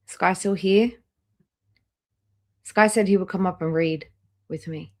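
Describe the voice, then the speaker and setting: A woman's voice: on the low side, takes it slowly, a little mellow. A woman hosting a casual reaction livestream, chatting directly to her viewers as she comments. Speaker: livestream host reacting to videos.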